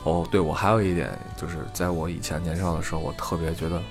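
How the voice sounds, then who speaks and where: A man's voice: low-pitched, steady tone, fast, music in the background. A middle-aged man co-hosting a podcast, thinking aloud in casual, unscripted chat and stressing his points. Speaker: podcast co-host.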